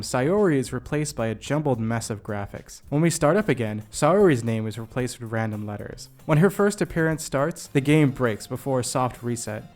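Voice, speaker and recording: Man narrating a video essay over music. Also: mid-range voice. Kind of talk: scripted narration of a game review. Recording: music behind the voice. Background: background music.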